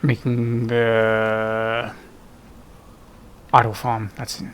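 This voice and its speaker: A man's voice: fairly low pitch, completely flat delivery, very slow, slight background noise. A man giving casual, unscripted gameplay commentary, musing to himself as he plays. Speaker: gameplay video host. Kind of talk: casual let's play commentary.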